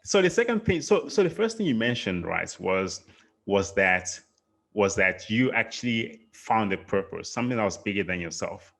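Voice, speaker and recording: A man's voice: fairly low pitch, big pitch swings, moderate pace, creaky, a bit bright. A man hosting a podcast, talking casually with stammering restarts and easy laughs. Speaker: podcast host. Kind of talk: podcast conversation.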